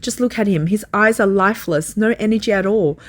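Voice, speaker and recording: A woman's voice: mid-range voice, fairly quick. A woman reading translated first-person testimony as a scripted voice-over.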